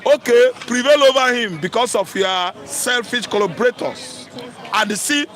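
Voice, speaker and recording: A high-pitched man with a Nigerian Igbo accent holds forth as a political commentator. He is emphatic and combative, repeats his points for force and drops into Pidgin. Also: a little slow, a bit bright. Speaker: talk show commentator. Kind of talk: opinionated live political commentary.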